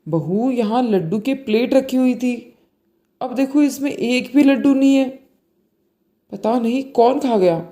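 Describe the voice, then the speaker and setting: Storybook role: older mother-in-law played by a woman, bossy, suspicious, scolding, high-pitched yet mellow. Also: unhurried, lightly acted. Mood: annoyed. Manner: commanding, sarcastic, scolding. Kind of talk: moral storybook dialogue. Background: faint music.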